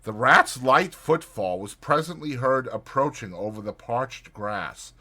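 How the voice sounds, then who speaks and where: A man's voice: medium pitch, expressive, a little slow, sharp tone. A man narrating a classic storybook in a dramatized literary reading style. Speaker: audiobook narrator.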